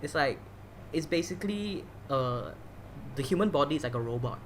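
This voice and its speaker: A man's voice: a little high, expressive, moderate pace, a bit bright, slight background noise. A man from Malaysia hosting a casual podcast chat, talking loosely with many restarts. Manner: chatty, many fillers and restarts. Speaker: podcast host. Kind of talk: casual conversational interview.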